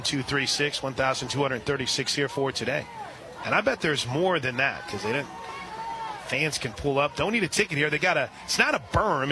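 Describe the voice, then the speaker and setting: A man doing live radio play-by-play for a softball game, chatting between pitches and shouting on big plays. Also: noisy background. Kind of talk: live radio sports commentary.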